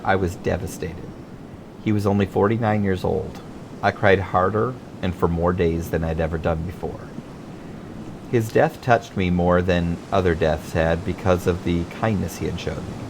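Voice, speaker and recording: A man's voice: deep voice, steady tone, normal speed, creaky, noisy background. A middle-aged American man from Michigan reading his own memoir as scripted audiobook narration. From Michigan, United States.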